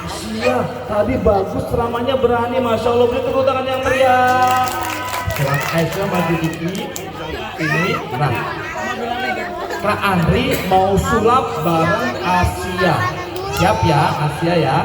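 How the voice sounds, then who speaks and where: A man addressing children as a storyteller, playful and exclaiming, with drawn-out words and call-and-response. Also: high-pitched, over loud music. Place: large reverberant space before an audience of children. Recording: echoey hall, distant PA sound, children's crowd.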